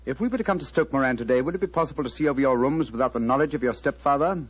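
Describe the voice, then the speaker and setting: An actor with a British accent playing an urbane, unflappable gentleman detective, suave and dryly ironic, in an old-time radio drama. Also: medium pitch, racing, close to an everyday voice. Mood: brisk, inquiring. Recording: dull lo-fi vintage radio recording.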